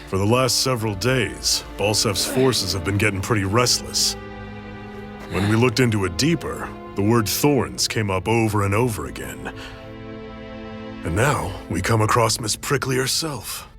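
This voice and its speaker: Low-voiced, composed rebel leader. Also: male voice, expressive. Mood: wry, probing. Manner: authoritative, measured, wry. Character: gruff, composed male resistance leader. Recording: background music under voice.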